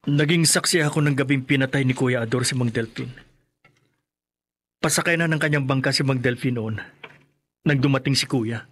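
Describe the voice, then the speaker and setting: An actor with a Filipino accent playing a frightened, remorseful younger brother, earnest and dramatic in a radio horror play. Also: male voice, mid-range voice, normal speed, long pauses, fairly bright tone, lightly acted. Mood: grave confession.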